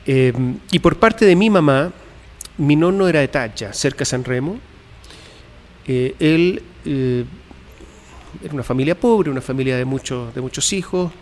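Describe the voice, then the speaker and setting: A man, a guest telling his family history in an interview, pausing often with fillers and restarts. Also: mid-range voice, expressive, a little mellow, big-room sound. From Chile. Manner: hesitant, many fillers and restarts.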